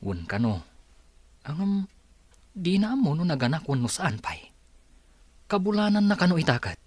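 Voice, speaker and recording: A man's voice: on the high side, big pitch swings, moderate pace, no echo. A man acting a concerned, questioning young friend in an Ilocano radio drama. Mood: curious.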